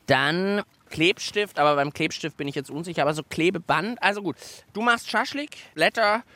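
A man's voice: fairly high pitch, moderate pace, bright, forceful tone, no echo. A man hosting a children's radio show outdoors among autumn leaves, chatting playfully and explaining what he is doing. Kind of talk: lively radio chat while building.

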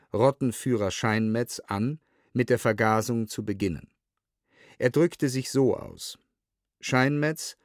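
A man reading a scripted documentary audiobook in a sober, measured way, close to a studio microphone. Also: medium pitch, no echo. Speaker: reader of historical testimony.

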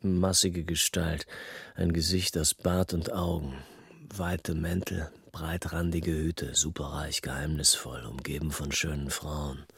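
Deep, husky hard-boiled crook. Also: male voice, level tone, unhurried, a bit bright, no room sound, studio quality, heavily acted. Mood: brooding. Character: hard-boiled small-time male crook recounting his past, restless. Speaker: narrator and lead. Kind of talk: scripted noir radio drama narration.

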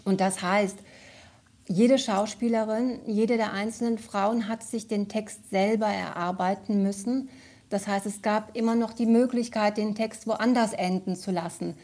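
A woman's voice: medium pitch, a little mellow. A woman radio play director giving a reflective interview answer, thoughtful and searching for her words.

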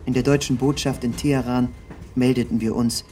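A man reading a scripted literary narration in the first person, with measured, precise and formal diction. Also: mid-range voice, flat delivery, warm tone, slight background noise.

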